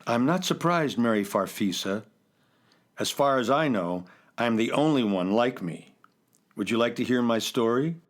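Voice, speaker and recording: A man playing a polite, earnest talking chocolate-covered rocket in a children's radio drama, speaking in a measured way. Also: medium pitch, expressive, lightly acted. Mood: polite, calm. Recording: guitar music behind the voice.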